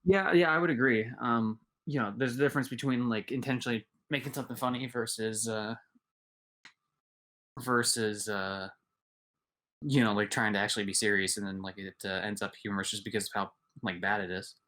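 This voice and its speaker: A man's voice: fairly low pitch, steady tone, fairly quick, a bit bright. A man co-hosting a podcast in casual chat, rambling with lots of filler words. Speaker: podcast co-host.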